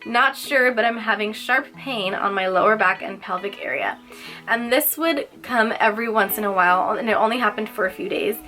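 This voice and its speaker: A woman's voice: mid-range voice, moderate pace, bright, forceful tone, soft background music, low-quality recording. Chatty young woman, a bit nasal. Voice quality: nasal, congested. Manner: chatty, lots of "like" fillers. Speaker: vlogger. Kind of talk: casual personal vlog.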